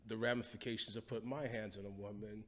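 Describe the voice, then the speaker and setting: A man's voice: fairly low pitch, fairly even intonation, fast, long reverb tail. A young man from Guyana, a guest in a casual interview, talking bluntly with lots of profanity and street slang. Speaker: guest, wildlife photographer.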